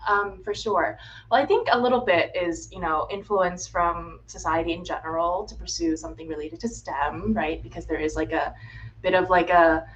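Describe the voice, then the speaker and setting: Woman, twenties, casual guest, fillers and easy laughs, lowish voice, faint hiss behind. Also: fairly even intonation, moderate pace, dull audio. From United States (Florida). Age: 20s. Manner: chatty, frequent "um" and "like", laughs easily. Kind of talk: casual interview chat. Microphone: video call. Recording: faint background noise.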